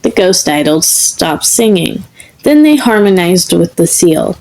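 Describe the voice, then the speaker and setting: A woman reading comic fan fiction as scripted narration, in a measured, deliberate manner. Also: fairly low pitch, very animated, gravelly, a little mellow, low-quality recording. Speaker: podfic reader.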